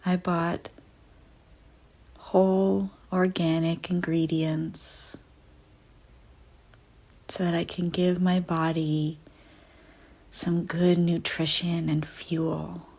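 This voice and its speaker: A woman narrating a scripted guided visualization in a soft, soothing, deliberate way with frequent pauses. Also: fairly low pitch, flat delivery, vocal fry.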